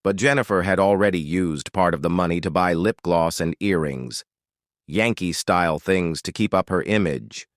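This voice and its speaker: A man's voice: deep voice, normal speed, dry recording, clean studio sound. A man with a Nigerian accent narrating a scripted story in a dramatic style.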